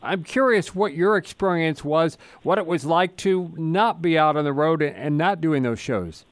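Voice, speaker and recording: A male radio host asks his questions in a loose, conversational way, often pausing on fillers. His voice is fairly high and sounds dry and close. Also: no room sound. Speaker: radio interviewer. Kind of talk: radio interview.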